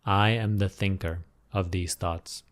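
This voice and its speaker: Low-voiced man guiding a meditation. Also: fairly even intonation. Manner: unhurried instructing with repeated phrases and pauses. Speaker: meditation teacher. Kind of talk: guided meditation, unscripted gentle instruction.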